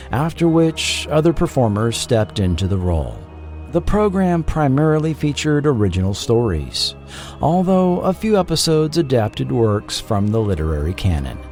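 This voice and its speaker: A man narrates a scripted documentary with a dramatic storyteller's delivery. His pitch is lively and varied, sitting in a middle male register. Music plays quietly beneath his voice. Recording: music bed under the voice. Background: background music.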